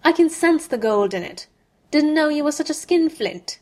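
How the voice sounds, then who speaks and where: A woman's voice: high-pitched, animated, normal speed, darkish tone, pitched above the performer's own voice. A woman reading aloud as a proud young male wizard, with a scornful, clipped and cutting manner.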